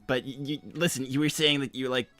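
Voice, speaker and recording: A man's voice: mid-range voice, little pitch movement, pushed and bright, no room sound, natural voice. A man voicing an insecure, earnest young hedgehog who rambles, stammers and repeats himself in improvised livestream roleplay. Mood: anxious, probing. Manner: halting, stammering, repeats words.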